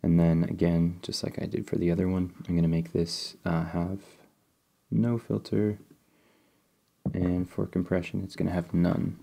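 Deep-voiced, mellow, slightly sniffly male tutorial host; easygoing, explains as he clicks. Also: normal speed, gravelly. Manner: relaxed, step-by-step, thinking aloud with fillers. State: sniffly, getting over a cold. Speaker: game development tutorial host. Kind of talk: screen-recorded coding tutorial.

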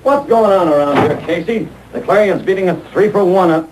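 Brash, excitable old-radio reporter. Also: male voice, high voice, fairly quick. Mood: worked up. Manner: slangy, griping, punchy. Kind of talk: 1930s-40s radio drama acting. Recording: noisy old-time radio show taped off air.

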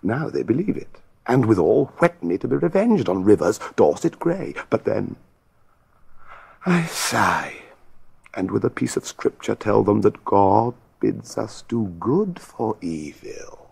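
A sly, gravelly, villainous duke. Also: male voice, on the low side, big pitch swings, unhurried, darkish tone, full character voice. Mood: sly gloating. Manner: sly, sardonic. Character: scheming, mock-pious villainous royal duke. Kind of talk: theatrical Shakespearean acting.

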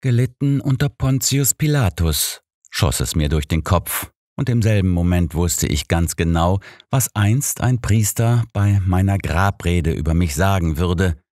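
A man's voice: deep voice, animated, unhurried, hardly any pauses, darkish tone, dry recording, clean studio sound. A man narrating a story in a scripted audiobook read.

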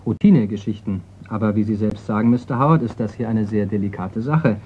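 A man's voice: lowish voice, brisk, slightly rough. An actor in a radio crime drama playing a wry, unflappable male insurance investigator, dry and casual in manner. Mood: assured, businesslike. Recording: dull, noisy radio play recording.

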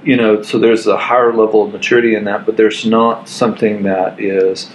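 A man's voice: fairly low pitch, little pitch movement, fairly quick, a little hiss or hum, poor audio. A man reflecting in a casual living-room discussion, building points in repeated phrases. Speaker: podcast guest.

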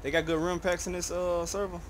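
A man's voice: sits high, level tone, a little fast. A man bantering casually as he plays a game, in profane slang. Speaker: gamer playing along. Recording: noisy voice chat, game engine noise. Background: game car noise.

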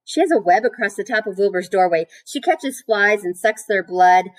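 A woman's voice: medium pitch, expressive, normal speed, natural voice. A woman voicing an earnest, chatty farm girl in a storybook, explaining things eagerly and guilelessly.

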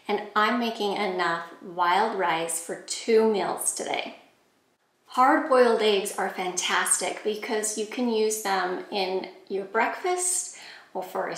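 A woman in her forties calmly walking through cooking steps in a home kitchen, talking to a camera set a few steps back. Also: medium pitch, reverberant. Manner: calm, friendly step-by-step instructions. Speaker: cooking vlogger. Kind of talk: cooking tutorial voice-over and talk to camera.

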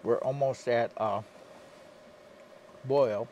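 A man from Michigan vlogging casually from inside a parked car, talking low-key and half to himself. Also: steady tone, extremely slow. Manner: low-key, quiet, half-muttered asides. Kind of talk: casual vlog commentary. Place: inside a parked car, cold and windy outside. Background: faint wind outside.

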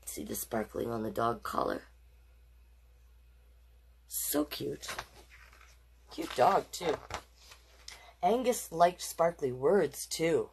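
A woman's voice: low-pitched, big pitch swings, slow pace, creaky, long pauses, a little mellow, breathy edge. A woman hosting a children's storytime, reading picture books aloud with playful, encouraging asides to young listeners. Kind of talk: picture-book read-aloud with chatty asides.

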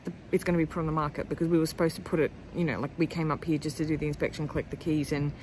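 A woman's voice: deep voice, little pitch movement, racing, a little mellow, slight background noise, rough recording. An Australian woman in her thirties chatting casually to her phone camera for a farm vlog, rambling with plenty of fillers. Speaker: farm vlogger. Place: outdoors in a backyard by a house. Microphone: phone held at arm's length.

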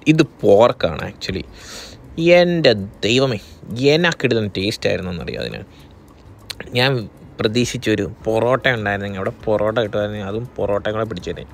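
A man's voice: on the low side, animated, a little fast, vocal fry, slight background noise. A man from Kerala with a Malayali accent, talking casually as a vlogger narrating a day out. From Kerala, India.

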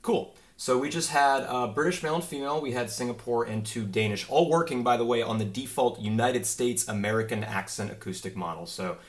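A man's voice: mid-range voice, fairly quick, few pauses. A young man in his twenties, a game developer, casually walking viewers through a demo at his desk with an easygoing, explanatory manner and plenty of filler words. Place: small home office with a desk and monitor.

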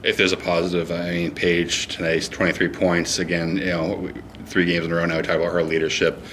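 Very deep-voiced, even-toned male sports interviewer. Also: a little fast, a little hiss or hum. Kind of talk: postgame interview questions and recaps.

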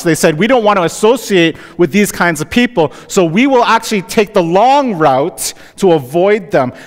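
Canadian pastor preaching in a reverberant hall. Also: male voice, sits high. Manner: conversational, asks the congregation "right?". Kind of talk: sermon. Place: church sanctuary.

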